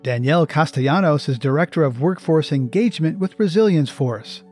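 A man's voice: fairly high pitch, expressive, a little fast, a little mellow, soft background music. A man hosting an interview program, asking questions and reading scripted links. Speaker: radio show or podcast host.

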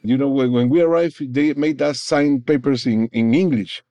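A man from Peru with a Peruvian Spanish accent, a native Spanish speaker, recounting his experience haltingly in an interview. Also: mid-range voice, dark tone, no echo. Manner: halting, repeats words, often says "you know". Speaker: interview guest, former migrant worker. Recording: dull, slightly muffled, dry audio.

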